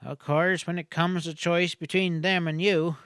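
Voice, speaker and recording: Guy doing a folksy old west doctor, higher pitched, cynical but gallant, dry close sound. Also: little pitch movement, measured pace, fairly bright tone, clear, resonant tone, dry recording. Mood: wry, exasperated. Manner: folksy, drawling, eloquent. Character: wry, cynical frontier doctor, male, talkative and gallant. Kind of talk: Western audiobook character voice.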